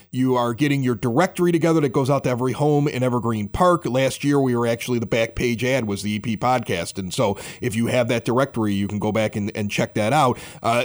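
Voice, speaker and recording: A man's voice: mid-range voice, darkish tone. A man hosting a local podcast in a chatty, conversational style. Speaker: podcast host. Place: home basement bar studio.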